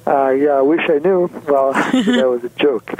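A man's voice: a little high, a little slow, a crowd in the background, low-quality recording. A man with an Italian accent, a neuroscientist guest on a radio health show, talking hesitantly with many ums and a joking manner. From Italy. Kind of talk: radio interview. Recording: faint background noise.

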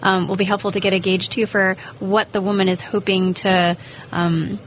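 A woman co-hosts a radio health talk and explains points in a measured manner. Her voice sits mid-pitch. A faint hiss sits behind her. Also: level tone, low-quality recording. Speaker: radio show co-host. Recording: faint background noise.

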